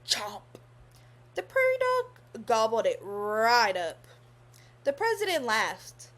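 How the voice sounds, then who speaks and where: A woman's voice: a little high, wildly swooping pitch, fairly bright tone. A young woman reading a children's story aloud expressively, in a teacherly manner. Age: young adult. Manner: teacherly, pauses to pose questions to young listeners.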